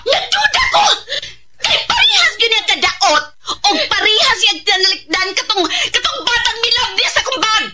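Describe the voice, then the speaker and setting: Haughty newly rich mother, Filipino accent, radio drama, very high shrill voice, wildly swooping, scolding. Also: big pitch swings, normal speed, a little hiss or hum, heavily acted. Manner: scolding, forceful, melodramatic.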